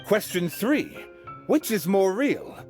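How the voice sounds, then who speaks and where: An actor playing a showy, declamatory quizmaster who poses solemn riddles in a video game. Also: male voice, sits high, very animated, takes it slowly, a little mellow, faint music behind, full character voice. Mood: grand, solemn. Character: theatrical male quizmaster posing solemn riddles. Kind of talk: video game character acting.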